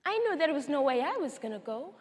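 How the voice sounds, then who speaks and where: A woman's voice: high-pitched, very animated, fairly quick. A woman performing a dramatic monologue as a Somali woman survivor recounting her childhood, earnest and wounded. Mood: solemn, hurt. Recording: echoey large hall.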